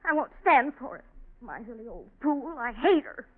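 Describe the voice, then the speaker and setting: An old, muffled radio recording of a spoiled young farm woman. She is petulant and self-pitying, swinging widely in pitch as she flares up. The style is emphatic 1940s melodrama. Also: very animated, normal speed. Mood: petulant, angry. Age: young adult. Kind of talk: 1940s radio drama acting.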